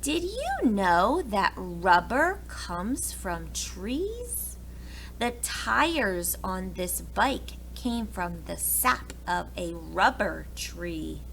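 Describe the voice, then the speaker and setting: A woman's voice: medium pitch, big pitch swings, very slow, gravelly, a bit bright, a little hiss or hum, poor audio. A woman reading a picture book aloud to preschoolers, expressively and speaking directly to the young listeners. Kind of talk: children's picture book read-aloud with asides.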